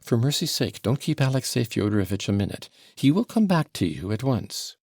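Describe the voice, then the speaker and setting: A flustered, gushing middle-aged mother from an audiobook novel, all breathless exclamations as a male narrator puts her on. Her voice swoops high and lively with a gravelly, husky grain. It sits dry and close. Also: a little fast, no room sound.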